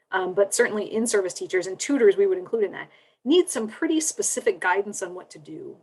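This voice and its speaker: A woman academic explaining things conversationally on a panel, in a measured, careful manner. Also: medium pitch, poor audio.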